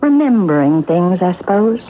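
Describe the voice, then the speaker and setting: Wry, watchful housekeeper, lowish and animated, radio drama. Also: female voice, moderate pace. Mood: confiding. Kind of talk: 1970s radio drama acting. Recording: tinny, lightly noisy old radio recording.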